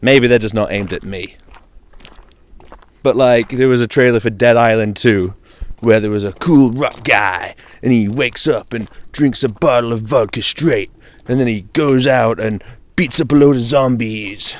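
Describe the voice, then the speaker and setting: A man's voice: mid-range voice, a little slow. A man with a British accent chatting informally on his own about games, in a dry, wry, jokey manner. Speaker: video game vlogger.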